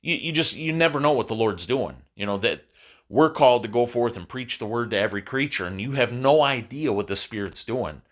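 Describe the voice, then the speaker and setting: A man with a stuffy, nasal voice from a cold, talking in a halting solo podcast monologue and often repeating his words. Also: medium pitch, lively intonation. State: congested with a cold. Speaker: podcast host. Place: his apartment.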